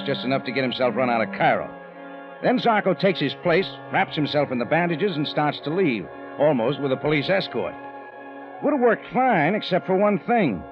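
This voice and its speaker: An American man playing a tough, wry adventurer in an old radio detective drama, telling his case in clipped slang. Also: on the high side. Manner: clipped hardboiled slangy delivery. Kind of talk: 1940s-50s radio detective acting with first-person narration. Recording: dull old radio recording with music.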